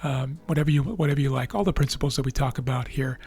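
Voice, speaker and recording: A man with a raspy, tired voice, hosting a trading video and explaining live charts in a measured way with frequent fillers and restarts. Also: mid-range voice, darkish tone, faint music behind. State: losing his voice.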